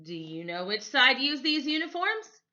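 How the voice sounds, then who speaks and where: A woman's voice: high-pitched, big pitch swings, moderate pace, sharp tone, muffled recording, voice pushed up, exaggerated voice. A woman playing a tall, musing man who is a history expert in a children's storybook, in an inviting manner.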